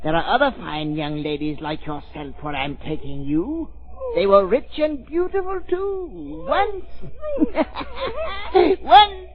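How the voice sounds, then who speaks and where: An actor playing a deranged, menacing kidnapper in old radio style, gloating and repeating phrases in a crooning way on a tinny vintage recording. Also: male voice, high-pitched, sing-song, slow pace, exaggerated voice. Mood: gloating hysterical. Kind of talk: 1930s radio acting.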